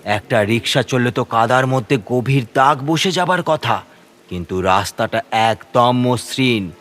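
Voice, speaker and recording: A man's voice: on the low side, a bit bright. A man narrating a scripted horror story in the first person, with a suspenseful, theatrical delivery.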